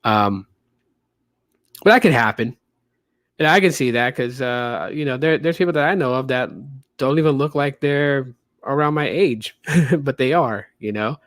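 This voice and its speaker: A man's voice: medium pitch, measured pace, long pauses, a bit bright, no echo, clean studio sound. A man in his late thirties with a light voice, casually chatting as a podcast host, rambling with many fillers. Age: 30s. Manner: loose, rambling, frequent fillers. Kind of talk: casual livestream podcast chat.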